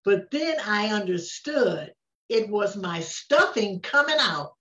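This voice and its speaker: An older woman reading a rhyming children's story aloud, with expressive, unhurried delivery and frequent pauses. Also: medium pitch. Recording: roomy, distant, dull-sounding microphone.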